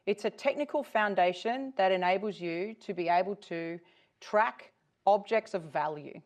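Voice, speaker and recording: A middle-aged woman with an Australian accent giving a conference presentation as an invited company executive. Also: lowish voice.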